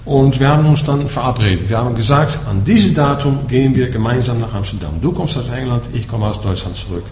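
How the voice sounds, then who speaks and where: A man's voice: medium pitch, fairly quick, darkish tone. A man from the Netherlands with a Dutch accent, teaching Buddhism in German, explaining patiently and checking in with "ja?" after each point. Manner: explaining, asks "ja?" after nearly every point. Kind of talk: dharma talk to a group. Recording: echoey room with faint background noise.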